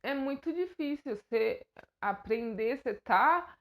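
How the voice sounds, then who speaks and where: A woman's voice: on the high side, expressive, a little slow. A young Brazilian woman with a Brazilian Portuguese accent, talking straight to her viewers in an earnest, hesitant way, with many fillers and restarts. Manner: earnest, emotional. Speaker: YouTuber. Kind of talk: personal vlog to camera.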